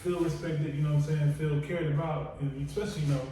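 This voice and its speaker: A man's voice: a little high, little pitch movement, fast, dark tone. A man on a podcast who talks bluntly and profanely, leaning on "you know what I'm saying" between thoughts. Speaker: podcast co-host. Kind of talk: casual podcast chat. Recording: echoey room, distant mic, faint noise.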